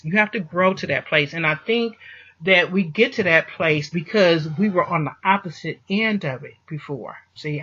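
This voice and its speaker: Male vlogger, high and sharp-edged voice, impassioned religious pep talk, insistent repetition, muffled lo-fi sound. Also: breathy edge. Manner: emphatic, repeats phrases for stress. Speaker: vlogger answering a listener. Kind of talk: impassioned motivational monologue with religious encouragement.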